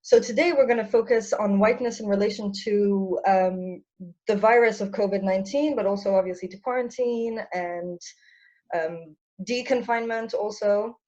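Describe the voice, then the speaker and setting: A woman's voice: mid-range voice, normal speed, a little mellow, dull audio. A young woman co-hosting an online seminar, explaining ideas thoughtfully with frequent fillers and restarts. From French and Irish background. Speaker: seminar co-host. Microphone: video call.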